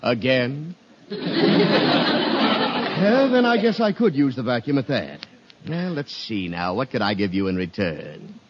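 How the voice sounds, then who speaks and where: Fussy, swooping-voiced shopkeeper. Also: male voice, medium pitch, big pitch swings, slow, long pauses. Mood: musing, salesmanlike. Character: fussy, polite male shopkeeper haggling over secondhand goods. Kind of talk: 1950s radio comedy acting. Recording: muffled, noisy 1950 radio recording.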